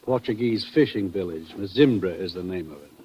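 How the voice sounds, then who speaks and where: An actor with an American accent playing an authoritative, businesslike commissioner in a 1940s radio drama. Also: male voice, low voice, animated, a little fast, slight background noise. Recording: dull, lo-fi 1940s radio recording.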